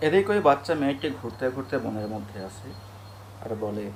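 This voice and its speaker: A man's voice: mid-range voice, big pitch swings, normal speed. A man narrating a scripted cartoon ghost story in a storyteller's style. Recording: clear background noise and ambience.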